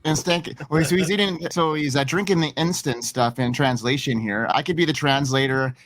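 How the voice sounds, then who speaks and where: A man's voice: on the high side, normal speed, a bit bright, slight background noise. A man with a Canadian accent, co-hosting a casual podcast in an easygoing, friendly way. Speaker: podcast co-host.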